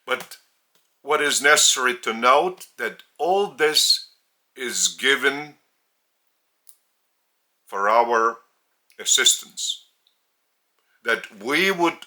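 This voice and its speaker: A man with a Lithuanian accent, a native Lithuanian speaker, giving a spiritual teaching in a deliberate, halting way with emphasis on key words. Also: mid-range voice, bright, forceful tone. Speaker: spiritual teacher and religious leader. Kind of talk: spiritual sermon addressed to camera. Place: indoors.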